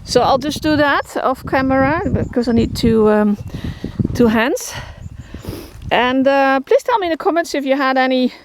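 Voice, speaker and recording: A woman's voice: sits high, expressive, moderate pace. A woman with a Dutch accent casually talking about her horses outdoors, rambling with many fillers and restarts. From Netherlands. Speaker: horse shelter keeper. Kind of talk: casual vlog walkthrough. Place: outdoors in a rural field. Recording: outdoor noise, crickets behind.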